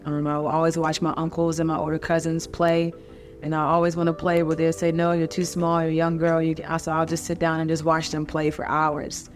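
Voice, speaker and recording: A woman in her thirties from North Carolina, a basketball coach, telling her life story in a relaxed way with many ums. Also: deep voice, brisk, faint music behind. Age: 30s. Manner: relaxed, conversational with frequent ums. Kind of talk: personal story told to camera.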